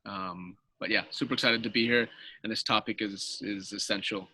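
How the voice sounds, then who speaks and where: Guy on a video call, kinda low but bright voice, dry sound, friendly, laughs a lot. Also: fairly even intonation, no room sound, rough recording. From born in Colombia, raised in Washington. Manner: friendly, laughing, halting with restarts. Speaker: guest, Spanish teacher. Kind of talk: casual interview talk.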